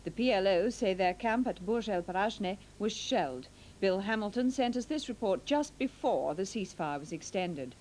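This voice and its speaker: A woman's voice: mid-range voice, lively intonation, moderate pace, hardly any pauses, a little mellow, slight background noise, poor audio. British woman reading the news. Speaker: newsreader.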